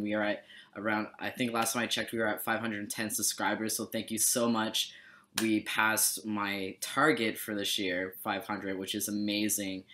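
A man's voice: lowish voice, pushed and bright. A young man from Canada chatting casually and jokingly on a webcam in a small bedroom, laid-back and mumbling at times. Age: young adult. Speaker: vlogger. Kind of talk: casual chat.

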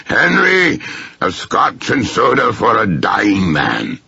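A gruff, cantankerous code expert, voiced by a man in an audiobook story. He is loud and scornful, with a gravelly, husky voice that swings widely in pitch. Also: wildly swooping pitch, slow, no room sound, dull audio, full character voice. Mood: loud demand.

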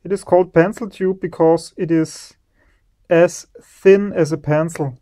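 A man hosting an electronics teardown video, slowly and admiringly commenting on the parts he finds. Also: on the high side, steady tone, dark tone. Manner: slow, admiring, savoring each part.

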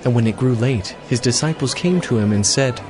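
A man's voice: medium pitch, a little fast, a crowd in the background. A man narrating scripture from a script as part of a dramatized reading. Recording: music bed behind voice.

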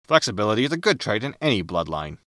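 A man's voice: on the low side, very animated, fast, fairly bright tone, no echo, clean studio sound. A man playing a roguish, wry spaceship captain with a laconic manner in a sci-fi comedy audio drama. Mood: wry, breezy. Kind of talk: sitcom audio drama acting.

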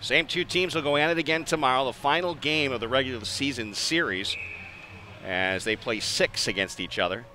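Bright-voiced American hockey play-by-play man. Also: medium pitch, normal speed. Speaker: play-by-play sports announcer. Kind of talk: live ice hockey commentary. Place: rink-side in an indoor ice arena. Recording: clear arena ambience noise behind the voice.

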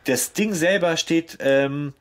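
A man's voice: fairly high pitch, slow pace. A man co-hosting a casual podcast discussion, explaining things in a colloquial way. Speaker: podcast co-host.

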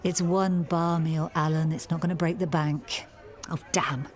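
An actress playing a hospitable, no-nonsense middle-aged pub landlady in a radio soap, brisk and direct. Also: sits low, fairly even intonation, slight background noise. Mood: exasperated. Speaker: actor.

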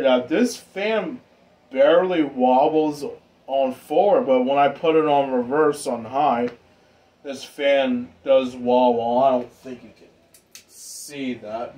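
A man giving a casual, informal hobbyist demonstration in an unhurried, rambling way. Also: medium pitch, warm tone. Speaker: ceiling fan hobbyist vlogger. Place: indoor room with exposed pipes and ductwork.

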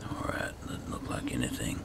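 A man's voice: normal speed, in a whisper. A man muttering sparse remarks as he gives roleplay commentary over a video game. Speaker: gaming host. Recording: clearly noisy game ambience behind. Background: game ambience.

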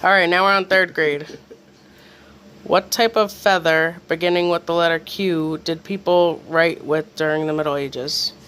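A man's voice: fairly high pitch, steady tone, normal speed, a bit bright, smooth. A man hosting a casual family quiz, reading out the questions. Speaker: quiz host.

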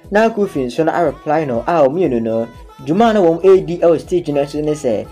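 A man's voice: fairly high pitch, mellow. A man with a Ghanaian accent narrating an informal recap of a TV series. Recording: music bed under the voice. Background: background music.

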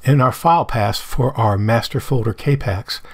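A middle-aged man with a hoarse voice, explaining a software tutorial step by step over his screen recording. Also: mid-range voice, a little slow, no room sound. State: hoarse from medication.

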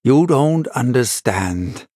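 A man's voice: medium pitch, animated, slow pace, warm tone, dry recording, clean studio sound. A man voicing a mysterious, short-tempered stranger in a storybook, menacing and dramatic, with an emphatic, commanding delivery. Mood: furious, menacing.

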